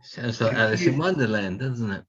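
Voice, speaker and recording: A man's voice: on the low side, lively intonation, moderate pace, a bit bright, breathy edge, lo-fi sound. A man chiming in on a video call, chatty and interjecting.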